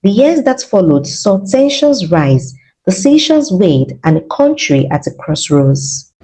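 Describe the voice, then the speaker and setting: A woman's voice: medium pitch, big pitch swings, unhurried, dark tone, husky, poor audio. A woman reading scripted documentary narration in a polished, dramatic way, as a reporter.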